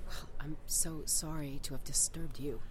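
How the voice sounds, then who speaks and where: Woman acting low-voiced, wry office colleague. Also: steady tone, normal speed, slight background noise, natural voice, poor audio. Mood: hurt, sarcastic. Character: dry, wounded female office colleague and lover. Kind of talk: naturalistic audio drama acting.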